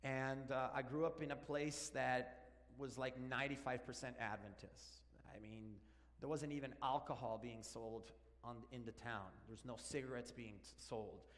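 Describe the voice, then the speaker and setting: A man's voice: medium pitch, normal speed. Spanish-native man preaching in a hall. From Argentina. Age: 40s. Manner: animated and joking, walks around stage. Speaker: pastor. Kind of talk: sermon. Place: large church sanctuary. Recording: long church hall reverb.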